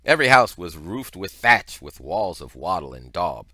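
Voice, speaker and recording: A man reading a story aloud as scripted narration, with expressive, deliberate phrasing. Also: deep voice, dry recording, clean studio sound. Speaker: audiobook reader.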